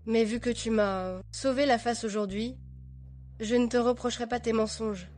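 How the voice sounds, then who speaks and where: An actress dubbing a practical, matter-of-fact young bride. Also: female voice, a little high, steady tone, normal speed, darkish tone, close to an everyday voice.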